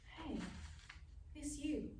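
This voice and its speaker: A woman's voice: high-pitched, very slow, mellow. A woman playing a nosy, offhand gas station cashier, blunt and casual, in a live table read. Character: gum-popping pregnant woman shop cashier, nosy and offhand. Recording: echoey, distant, noisy live room.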